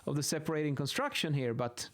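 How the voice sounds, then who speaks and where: Man, thirties, Swedish accent, slightly high pitch, informal explainer, halting phrasing, close studio mic. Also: sing-song. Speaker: video host, acoustics instructor. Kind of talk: informal explainer while sketching. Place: small indoor home office. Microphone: close boom-arm studio microphone.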